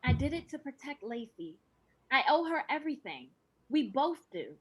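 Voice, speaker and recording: A woman's voice: high voice, very animated, normal speed, husky, heavily acted, poor audio. A woman playing a coaxing, self-justifying talent agent in a table read of a screen drama. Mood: soothing, defensive.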